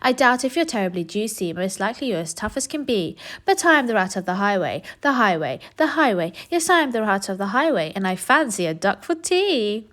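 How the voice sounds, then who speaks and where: A woman voicing a greedy, boastful male rat highwayman in a storybook, with a bullying sing-song chant. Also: sing-song, a little fast, few pauses, fairly bright tone. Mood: blustering.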